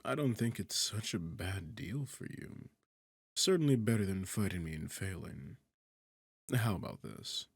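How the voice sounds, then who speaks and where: A sardonic demon king, acted by a man, low and gravelly, speaks close and dry in an intimate fantasy audio roleplay. He takes his time, teasing and condescending, with a bored, seductive arrogance. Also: animated, a little slow, no room sound, heavily acted. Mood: coaxing.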